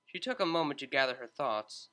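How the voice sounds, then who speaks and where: Male audiobook narrator, measured and steady, bright voice, mid pitch.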